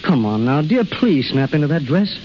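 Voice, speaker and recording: An actor playing a brisk, exasperated young husband in a 1930s radio commercial. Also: male voice, on the high side, big pitch swings. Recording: muffled 1930s radio, faint static.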